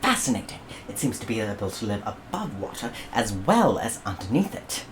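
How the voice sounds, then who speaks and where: A woman with a low voice voicing George, a fussy, prying English colonist scientist, in a kids' story. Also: sing-song, normal speed, heavy background noise. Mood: eager.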